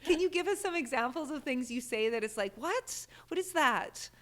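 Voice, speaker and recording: A woman hosts an interview podcast in a slightly higher voice. She sounds enthusiastic and engaged, and she laughs easily. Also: lively intonation, normal speed. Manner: enthusiastic, warm-toned interviewer with bursts of laughter. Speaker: podcast host.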